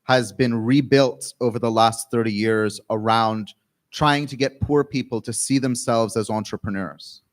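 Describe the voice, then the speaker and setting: A man from Canada giving an academic keynote lecture, speaking in measured phrases and pausing often. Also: medium pitch, fairly even intonation.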